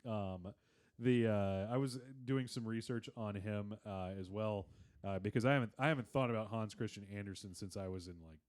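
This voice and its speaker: A man's voice: on the low side, moderate pace, darkish tone, clean tone. A man co-hosting a podcast in casual, comedic banter, explaining things at length and swearing casually. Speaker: podcast co-host.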